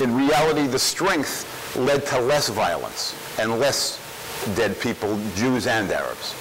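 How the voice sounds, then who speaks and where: Man, panel speaker, emphatic, repetitive for emphasis, mid-pitched voice, faint hiss. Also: a bit bright, rough recording. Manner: emphatic, repeats phrases for force. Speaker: panel speaker answering audience questions. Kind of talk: Q&A talk at a public event.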